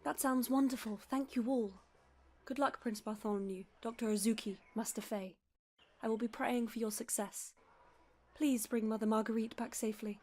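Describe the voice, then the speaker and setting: A woman acts a gentle, polite nun in a radio play. Her voice is somewhat high, with a dark, soft tone and an earnest manner. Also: fairly even intonation, moderate pace. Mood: hopeful, grateful.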